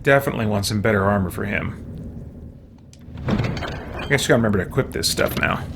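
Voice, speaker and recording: A man's voice: on the low side, fairly quick. A man streaming a game with casual commentary, thinking aloud as he plays. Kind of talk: casual let's play commentary. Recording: clear background game noise.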